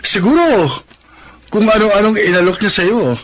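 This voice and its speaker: A man's voice: high-pitched, lively intonation, a little slow, full character voice. A Filipino man playing a jealous, hot-headed poor young man in love in a radio drama, emotional and accusatory. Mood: jealous, accusing.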